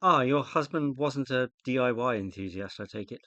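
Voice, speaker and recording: A man with a British accent playing a polite, flattering, inquisitive suitor with a hesitant manner in a radio play. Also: medium pitch, normal speed, no room sound, highs cut off, close to an everyday voice. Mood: polite, awkward. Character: tentative, flattering, inquisitive male suitor of a widow.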